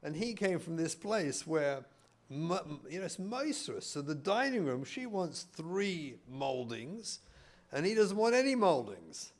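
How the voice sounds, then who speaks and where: A man's voice: a little high, big pitch swings, a little slow. A middle-aged man from England with an English accent, a rabbi giving a humorous talk to an audience in a community hall, telling stories with mock-indignant asides.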